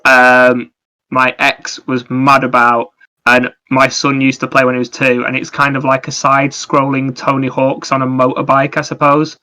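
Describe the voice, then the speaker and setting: A man's voice: mid-range voice, monotone, moderate pace, bright, forceful tone. A man chatting casually on a gaming podcast, with a wry, playfully dramatic manner. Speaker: podcast host.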